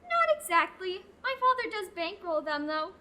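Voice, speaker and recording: A teenage girl voices a curious young novice bursting with questions, her voice very high and bright. She talks earnestly and a bit pleadingly, a plucky heroine in a homemade audio drama. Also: sing-song, normal speed, heavily acted. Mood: chatty. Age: teens. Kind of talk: student radio play.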